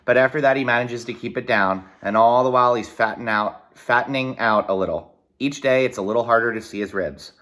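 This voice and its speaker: Guy reading a kids book out loud, folksy and expressive, medium pitched voice. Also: a little fast. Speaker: reader reading a children's novel aloud with side comments. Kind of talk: read-aloud narration with brief explanatory asides.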